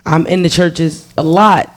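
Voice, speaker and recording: A man's voice: a little high, fairly even intonation, measured pace. A man, telling his personal story on a podcast in a candid way, repeating phrases and swearing freely. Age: 30s. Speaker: podcast guest.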